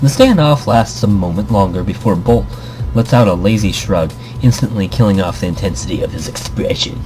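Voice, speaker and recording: A man's voice: on the low side, expressive, a little fast, poor audio. A man hosting a let's play, reading a visual novel aloud and commenting informally, stumbling here and there, with music behind. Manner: informal, self-deprecating, stumbles over reading. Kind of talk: story narration with casual commentary.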